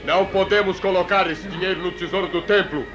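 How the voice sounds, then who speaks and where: An actor playing a stern, indifferent priest with an imperious manner in a religious drama. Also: male voice, high-pitched, fairly even intonation, fast, close to an everyday voice. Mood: dismissive. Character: haughty, indifferent temple priest, stern authority. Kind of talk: religious radio drama acting. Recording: loud music behind the voice.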